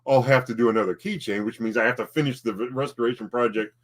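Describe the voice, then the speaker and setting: A man co-hosting a casual home livestream, talking in halting bursts and often repeating his words. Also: medium pitch, fairly even intonation. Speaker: livestream co-host, collectibles seller.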